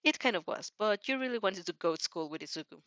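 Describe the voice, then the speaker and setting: A woman's voice: mid-range voice, expressive, fast, a bit bright, dry recording, dull audio. Woman reading a story, close and dry. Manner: repeats and rephrases phrases. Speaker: fan fiction reader. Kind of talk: dramatic story narration with stumbles.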